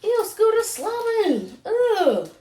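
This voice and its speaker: A woman's voice: extremely high pitch, wildly swooping pitch, slow pace, dark tone. A young adult woman with a Southern US accent telling a casual, repetitive story to viewers as a vlogger. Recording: roomy echo, distant from mic.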